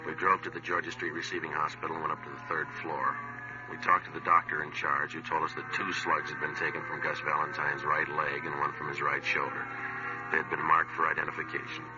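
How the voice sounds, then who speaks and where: An actor playing a clipped, deadpan police detective sergeant in a 1950s radio crime drama. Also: male voice, very deep voice, a little fast. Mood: matter-of-fact. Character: terse no-nonsense police detective sergeant narrating his case. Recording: dull old radio recording, music behind.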